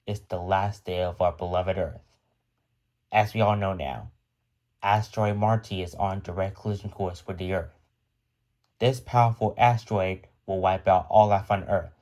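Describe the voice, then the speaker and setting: A man's voice: low-pitched, darkish tone, close to an everyday voice. A man playing a grave broadcast announcer, delivering a scripted doomsday message in a solemn, formal manner. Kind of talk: scripted emergency broadcast narration.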